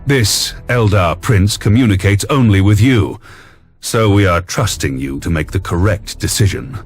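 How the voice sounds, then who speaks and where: An actor playing a grave, authoritative imperial high commander in a video game, husky and weighty, with formal delivery. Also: male voice, unhurried, close to an everyday voice. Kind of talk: video game cutscene acting. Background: music.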